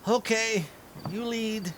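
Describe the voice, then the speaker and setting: A man playing a timid, excitable sidekick in a radio drama, whining, stammering and repeating words nervously. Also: sits high, takes it slowly, a little hiss or hum. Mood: sheepish, reluctant. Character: timid, excitable, pleading male sidekick named Oscar. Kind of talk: 1950s radio drama acting.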